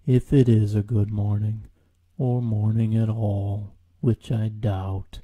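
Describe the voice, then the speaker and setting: A man voicing a sad, gloomy gray donkey in a storybook, slow, doleful and resigned. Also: fairly low pitch, little pitch movement, warm tone, slightly rough. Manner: slow, doleful, sighing delivery.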